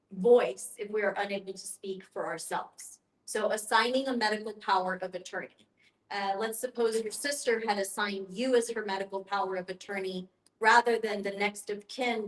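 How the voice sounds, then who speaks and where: A woman's voice: mid-range voice, fairly bright tone. A woman explaining things step by step as an educator leading a group discussion, in an instructive way. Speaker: end-of-life educator and guest facilitator. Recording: roomy, echoing sound.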